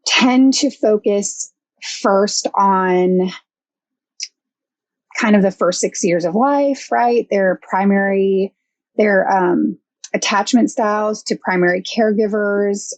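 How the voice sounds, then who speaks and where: Brief: young adult woman, guest counselor, halting and thoughtful, dry mic. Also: mid-range voice, steady tone, darkish tone, no echo. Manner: thoughtful, hesitant with many fillers. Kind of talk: casual podcast interview.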